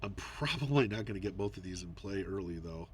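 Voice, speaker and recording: A man's voice: deep voice, animated, brisk, a little hiss or hum. Congested man explaining a board game. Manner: rambling, thinks aloud with fillers. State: congested, a little nasal. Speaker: board game video player. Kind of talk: casual gameplay commentary.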